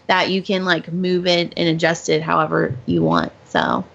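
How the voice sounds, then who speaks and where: A woman with a fairly low voice talks casually as a podcast co-host. She is informal and enthusiastic, and the sound is slightly dull. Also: very animated, moderate pace, vocal fry. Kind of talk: casual chat.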